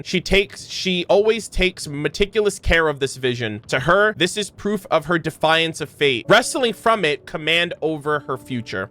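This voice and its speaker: A man streaming a casual reaction to game lore, moving between reading aloud and animated, slangy asides. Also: high voice.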